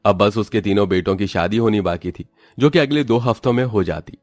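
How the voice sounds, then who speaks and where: A man's voice: on the low side, fairly quick, dry recording. A man reading scripted narration as the storyteller of a cartoon moral tale.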